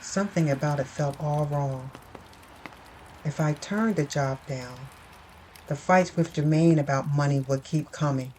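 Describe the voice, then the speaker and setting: A woman narrating first-person audio fiction in a dramatic, deliberate storytelling manner. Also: low voice, dark tone, slight background noise, rough recording.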